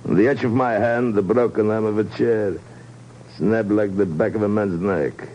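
An actor playing a menacing, amused Russian spy in a 1950s radio drama, with a Russian accent and a mocking, deliberate delivery. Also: male voice, on the low side, steady tone, dark tone, natural voice. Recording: muffled old 1950s radio recording, faint noise.

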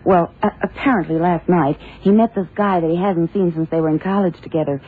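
An actress playing a detective's worried, loyal secretary in a radio drama, earnest and hesitant with a husky voice. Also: female voice, fairly low pitch, steady tone, fairly quick. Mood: worried, defensive. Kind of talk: 1960s-70s radio drama acting. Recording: tinny phone-like vintage radio drama.

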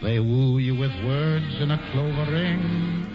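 A vain, aging governor croons a slow courting song and then turns to blustering commands. His voice sits fairly high and takes its time. It comes from a dull vintage radio broadcast with a loud orchestra behind him. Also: over music. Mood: wistful crooning. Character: aging pompous male colonial governor courting a young maiden. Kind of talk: 1940s radio musical drama.

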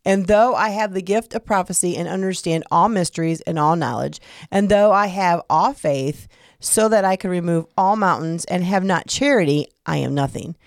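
Older woman with a gravelly, nasal voice. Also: lowish voice, no room sound, studio quality. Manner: slow, careful reading, quick to laugh. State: a little nasal from being sick. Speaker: podcast co-host. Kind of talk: casual chat and scripture reading.

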